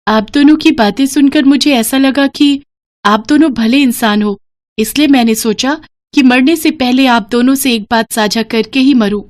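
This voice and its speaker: Casting: woman to play a conceited cartoon nanny goat, high-voiced, proud and expressive. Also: moderate pace, natural voice. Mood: defiant.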